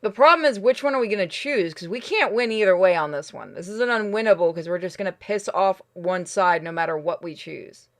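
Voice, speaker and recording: A casual game streamer with a mid-range voice. The talk is blunt and sweary, working through decisions out loud. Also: mid-range voice, animated, a little fast, few pauses. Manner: blunt, deliberating aloud, sweary. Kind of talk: casual gameplay commentary.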